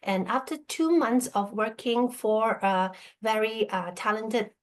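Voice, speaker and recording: A woman's voice: a little high, measured pace. Woman presenting over a video call. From born in Burma, grew up in Hong Kong. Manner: checks in with listeners by asking "okay?". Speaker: guest presenter sharing her story. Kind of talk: casual spoken presentation to an online group.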